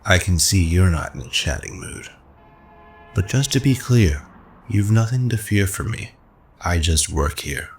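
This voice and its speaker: A man playing a roguish, smooth-talking fortune teller in a radio drama, sly and teasing. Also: low voice, expressive, unhurried, a little hiss or hum. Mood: smooth, disarming. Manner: sly, teasing, unruffled salesman patter.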